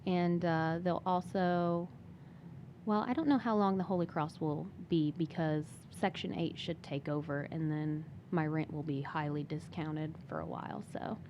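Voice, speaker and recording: Soft-spoken young mom, hesitant. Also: female voice, sits low, fairly even intonation, a little slow, muffled recording, a little hiss or hum. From Michigan. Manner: soft-spoken with many fillers and restarts. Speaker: guest. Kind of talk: casual interview talk.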